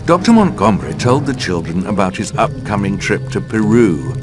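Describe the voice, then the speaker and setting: Dry gloomy narrator, low voice, lilting range, music behind, storybook game. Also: male voice, big pitch swings, moderate pace. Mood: wry. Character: wry, gloomy storyteller defining words as he narrates.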